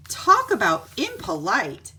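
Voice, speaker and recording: A slyly innocent storybook wolf, voiced by a woman pleads his case. The delivery is chatty and confiding, with big dramatic swings in pitch and an aggrieved edge. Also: wildly swooping pitch, slow pace, pushed and bright, exaggerated voice, poor audio. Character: male wolf narrator, self-justifying, aggrieved, slyly innocent. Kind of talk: storybook first-person narration.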